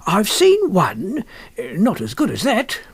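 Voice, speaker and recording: Man acting a wheedling, high-pitched antique dealer. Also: huge pitch swings, takes it slowly, husky, heavily acted. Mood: wheedling, deferential. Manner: wheedling sales patter with colloquial grammar. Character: obsequious, wily male antique dealer, patter-happy salesman. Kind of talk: audiobook character acting.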